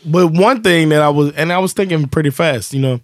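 A man co-hosting a casual podcast, telling a story in English, animated and laughing, acting out the quotes. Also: on the high side, fairly quick, no echo, studio quality. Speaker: podcast co-host and comedian.

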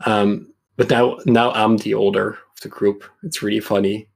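A man in his mid-twenties chatting casually about his own experiences in a rambling, halting way. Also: on the low side, flat delivery, vocal fry, husky. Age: mid-20s. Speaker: podcast guest, competitive card game player. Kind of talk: casual chat, personal anecdotes.

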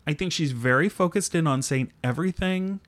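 Male co-host, easygoing chat with sudden excited spikes. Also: brisk. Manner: animated, playful, jumps up in pitch when excited. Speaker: podcast co-host. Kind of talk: casual chat reviewing a cooking show.

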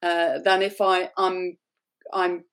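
A woman's voice: fairly low pitch, level tone, slow, clear, resonant tone. A woman hosting a podcast interview, chatting enthusiastically and sharing her own experiences. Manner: enthusiastic, warm interjections, laughs easily. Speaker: podcast host. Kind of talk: conversational interview.

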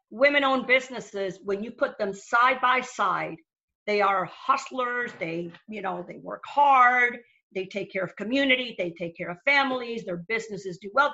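A woman's voice: fairly high pitch, moderate pace, bright, forceful tone. A middle-aged woman from Venezuela, speaking earnestly as a panel guest and repeating words as she starts sentences. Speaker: guest, lender to small businesses. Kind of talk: panel discussion. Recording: dull lo-fi sound.

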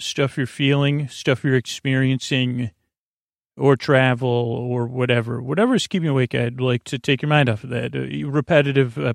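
A man with a creaky voice hosting a bedtime podcast, talking in a lulling, halting, meandering monologue that keeps drifting into tangents. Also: mid-range voice, no echo, studio quality. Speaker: podcast host.

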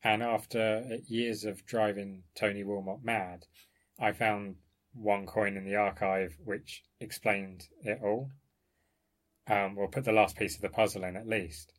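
Low-voiced man explaining research. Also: fairly even intonation, unhurried. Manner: explanatory, discursive, hedging. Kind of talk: informal academic discussion.

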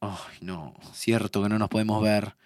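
An actor playing a bewildered, wry young time traveler in an audio drama, with an Argentine accent and a casual, muttering manner. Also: male voice, lowish voice, fairly even intonation, measured pace, darkish tone, a little hiss or hum, lightly acted. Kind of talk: naturalistic audio drama acting.

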